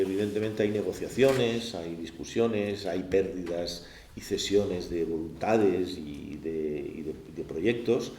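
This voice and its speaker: A man in his sixties with a Barcelona Spanish accent, recounting his memories at a panel in a measured, explanatory way, often ending phrases with a questioning tag. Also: deep voice, dark tone. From Barcelona, Spain. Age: 60s. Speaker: former port project manager giving testimony. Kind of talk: oral history recollection at a panel discussion. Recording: roomy echo, faint background noise.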